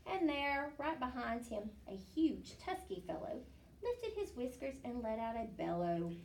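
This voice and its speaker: A woman reading a rhyming children's picture book aloud in an expressive storytelling style. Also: a little high, a little slow. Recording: slightly distant mic, faint background noise.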